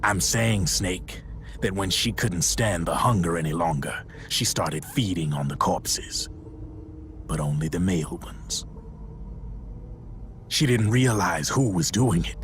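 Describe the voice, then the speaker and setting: Deep-voiced gruff soldier, matter-of-fact grim storyteller. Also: male voice, fairly bright tone. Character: gruff middle-aged male soldier recounting a grim tale. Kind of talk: video game cutscene acting.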